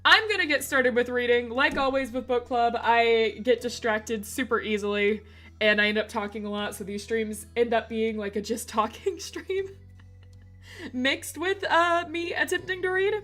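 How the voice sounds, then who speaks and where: A woman streamer with a slightly hoarse voice, chatting casually and giggling as she talks to her chat. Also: a little high, moderate pace, a bit bright, soft background music.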